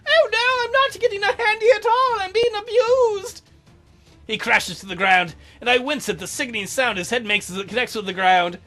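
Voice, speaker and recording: A man voicing a cowardly male henchman in a shrill, mocking falsetto wail. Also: animated, normal speed, pushed and bright, exaggerated voice. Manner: shrill mock wailing.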